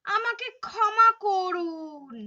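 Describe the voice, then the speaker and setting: A woman acts out a carefree young wife from a puppet tale. The voice is extremely high and bright, swinging widely and drawing words out slowly. The audio is dull and lo-fi. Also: full character voice. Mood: sheepish. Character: carefree young daughter-in-law puppet fond of makeup. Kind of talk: puppet show moral story acting.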